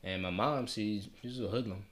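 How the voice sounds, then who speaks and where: A young man co-hosting a casual chat in a laid-back, slangy way with quick asides. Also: lowish voice, a loud crowd. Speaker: podcast co-host.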